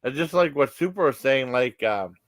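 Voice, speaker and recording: A man hosting a casual live stream, talking in a halting, repetitive, rambling way that often trails off. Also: mid-range voice, animated, no echo.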